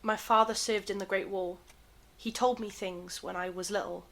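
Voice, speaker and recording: An actress as a thoughtful 1930s woman in an audio drama. Her voice is medium in pitch. She speaks in a reflective, unhurried way. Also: fairly even intonation, fairly bright tone, close to an everyday voice. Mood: reflective, troubled.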